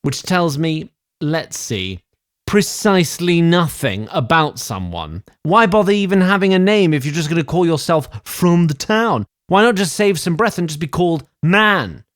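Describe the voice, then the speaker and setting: Male actor as wry, digressive sprite storyteller; formal diction, highly animated pitch. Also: moderate pace, dry recording, clean studio sound. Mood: exasperated aside. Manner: formal, wry, prone to asides. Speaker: narrator. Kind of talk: theatrical scripted fantasy narration.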